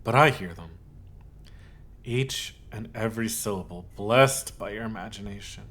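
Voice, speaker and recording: A man playing an obsessive, possessive, lovesick yandere in a scripted ASMR roleplay, speaking haltingly with cooed endearments. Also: on the low side. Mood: wistful, intimate. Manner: halting, cooing endearments, swings from hushed to insistent.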